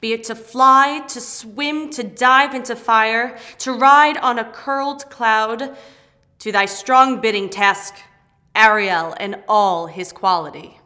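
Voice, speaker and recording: A woman's voice: on the high side, steady tone, takes it slowly, sharp tone. A woman playing an eager, proud airy spirit servant in a declamatory, emphatic Shakespearean stage reading. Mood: eager, exalted. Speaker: actor in dramatic reading.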